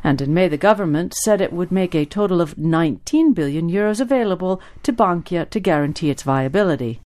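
A woman's voice: lowish voice, animated, brisk, darkish tone. A woman reading scripted news reports as a radio newsreader.

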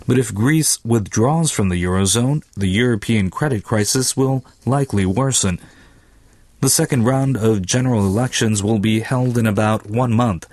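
A man's voice: lowish voice, normal speed, darkish tone, no echo. A man reading scripted economic commentary for a radio news programme.

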